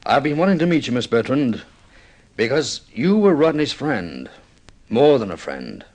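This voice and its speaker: An actor playing a middle-aged film director, intense and mournfully insistent, with theatrical, deliberate delivery in vintage radio drama style. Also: male voice, medium pitch, big pitch swings, a little mellow. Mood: impassioned, persuasive.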